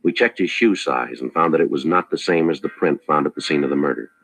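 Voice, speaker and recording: A very low-voiced, businesslike police detective from old-time radio drama. He speaks briskly in a husky, deadpan voice, clipped and matter-of-fact whether narrating the case or putting polite questions. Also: no echo, exaggerated voice, rough recording. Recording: vintage 1950s radio broadcast.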